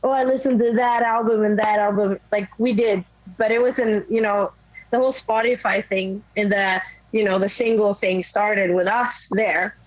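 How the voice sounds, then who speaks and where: A young woman from Sweden with a naturally raspy voice, a rock singer chatting casually in an interview, rambling with many fillers. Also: medium pitch, steady tone, moderate pace, no room sound, dull audio. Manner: rambling, lots of "like" and "you know". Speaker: rock vocalist guest.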